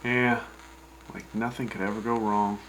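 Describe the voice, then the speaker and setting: A man's voice: on the low side, steady tone, moderate pace, a bit bright, slight background noise, rough recording. A man hosting a scripted frontier radio show, with easygoing, wry banter. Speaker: radio host.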